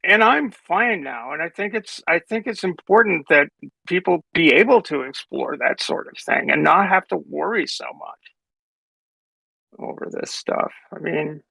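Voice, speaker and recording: A man speaking in a hesitant, halting way during a casual spoken debate. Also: a little high, expressive, vocal fry, bright, forceful tone, husky, no echo, rough recording.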